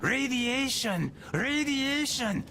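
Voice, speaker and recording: Jeering gang boy, video game, shrill pitch, strained, drawn-out cries, noisy background. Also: lively intonation, bright, forceful tone, exaggerated voice. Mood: mocking. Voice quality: high, strained. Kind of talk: video game character acting.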